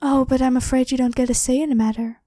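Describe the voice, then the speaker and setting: A woman's voice: high voice, fairly even intonation, fast, mellow, dry recording. Woman acting a haughty, evil pharaoh queen, dry close sound. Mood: imperious, menacing. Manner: haughty, commanding, theatrically scornful. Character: evil ancient female pharaoh, imperious, demanding, controlling and ruthless. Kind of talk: scripted ASMR roleplay addressed to the listener.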